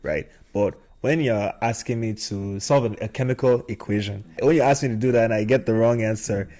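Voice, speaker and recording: A man's voice: fairly low pitch, a little fast, mellow. A young adult man hosting a podcast in a casual conversational style. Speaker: podcast host.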